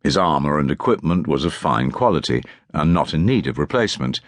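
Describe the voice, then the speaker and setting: A man's voice: rumbling low, sing-song, fairly quick, no echo, studio quality. A man reading historical fiction as a scripted audiobook narration.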